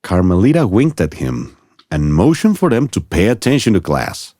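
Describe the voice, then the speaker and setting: A man narrating a children's story from a script in an expressive storytelling manner. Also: low-pitched, normal speed, no echo, studio quality.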